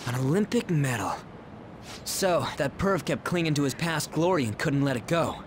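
A man's voice: on the high side, lively intonation, normal speed, noisy background, close to an everyday voice. An actor voicing a brash, hot-headed teenage delinquent boy in a video game, over game music. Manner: loud, brash, slangy. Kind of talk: video game cutscene voice acting. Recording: game audio with loud music.

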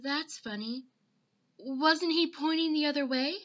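A woman's voice: high voice, unhurried, fairly bright tone, muffled recording, faint music behind. A woman voicing an earnest, innocent, emotional Kansas farm girl in a theatrical classic-film dub. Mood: curious. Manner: earnest, girlish, pleading.

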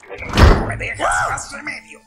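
A performer voicing a small, grumpy furry forest guardian in an animated fan dub, pompous and indignant, with a Latin American accent. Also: takes it slowly, music in the background, close to an everyday voice. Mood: indignant, threatening.

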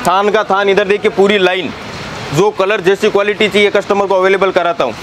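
A young man who owns a fabric shop gives a fast sales tour, listing fabrics and prices in a brisk patter, recorded on a lapel mic in a busy warehouse. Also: high-pitched, flat delivery. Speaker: shop owner. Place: crowded fabric warehouse, indoors. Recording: crowd noise in a busy warehouse.

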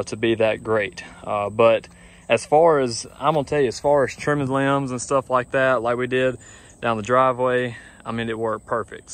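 A young man, talking casually to a phone camera outdoors in a grassy yard, rambling and easygoing with lots of fillers. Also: mid-range voice. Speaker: lawn care business owner. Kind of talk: casual vlog talk to camera. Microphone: phone camera at arm's length.

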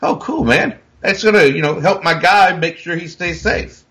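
A man's voice: sits high, normal speed, a bit bright. A man in his forties chatting on a video call as a rugby pundit, emphatic and rambling with many restarts. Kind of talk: casual panel chat.

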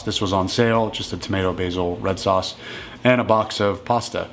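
Low-voiced man explaining his cooking. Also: slight background noise. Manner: relaxed and matter-of-fact, plain step-by-step talk. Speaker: strength coach cooking at home. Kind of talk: casual cooking walkthrough. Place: home kitchen.

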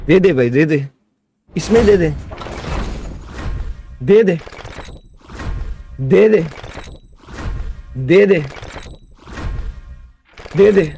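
A young man streaming a game, chatty and excitable, talking to his viewers from a desk mic in his gaming room. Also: high voice, very slow, creaky, long pauses, faint music behind. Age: young adult. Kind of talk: excited live reaction stream.

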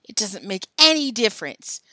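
A woman's voice: mid-range voice, sing-song, fairly quick, bright, forceful tone, no echo. A woman voicing a brash, argumentative schoolboy, blunt and defiant, in a children's storybook reading. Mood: defiant shouting.

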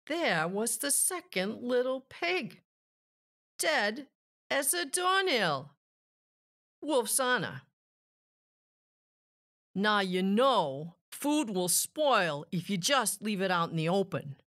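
Storybook wolf voiced by a woman, mock-innocent, self-pitying, theatrical, unhurried, very animated intonation. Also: mid-range voice. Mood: deadpan storytelling. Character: self-pitying, slyly innocent male storybook wolf telling his side. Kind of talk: children's storybook read-aloud.

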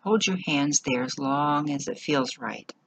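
A woman leading a guided meditation in short, measured phrases with long pauses between them. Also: low-pitched, a little hiss or hum, rough recording.

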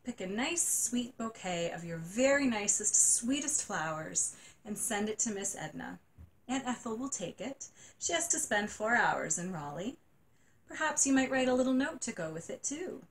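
A woman voicing a kindly, sympathetic aunt who speaks gently in a storybook reading. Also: on the high side, animated, moderate pace, lightly acted, low-quality recording. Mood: soothing. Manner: gentle and soothing.

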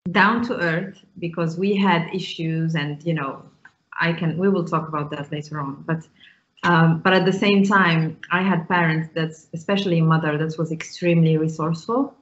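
A woman from Morocco, in her thirties, is a guest on a video call and talks through her thoughts slowly. She pauses on ums and restarts her sentences. Her voice sits a little low, and the call audio is muffled. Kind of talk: casual interview talk. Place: small room indoors. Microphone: video call with earphones.

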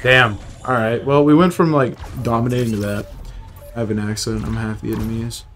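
A man's voice: mid-range voice, fairly even intonation, normal speed, fairly bright tone. A young American man streaming a shooter game, joking, swearing and chatting with viewers between fights. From United States. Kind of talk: casual gameplay commentary talking with chat. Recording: game audio and music behind.

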